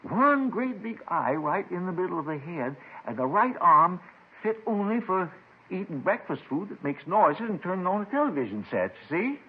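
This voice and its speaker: A man's voice: sits high, very animated, normal speed, slightly rough, full character voice. An actor in a 1950s radio drama playing an agitated, paranoid reclusive crank who rambles, stammers and repeats himself about his doomsday writings. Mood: fervent, prophetic. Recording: muffled vintage 1950s radio recording.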